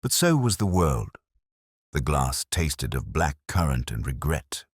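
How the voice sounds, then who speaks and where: A world-weary, literary detective played by a man narrates a mystery drama in an extremely deep voice. His delivery is measured and wry, with expressive swings in pitch. The sound is dry, without room echo, and the mood is reflective. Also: slightly rough, full character voice. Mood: melancholy, musing. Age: middle-aged. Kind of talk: scripted first-person mystery narration.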